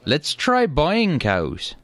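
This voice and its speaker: Earnest leprechaun council member played by a man, raised animated voice, dry sound, comic radio play. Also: fairly high pitch, extremely slow, dry recording, heavily acted. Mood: eager, earnest.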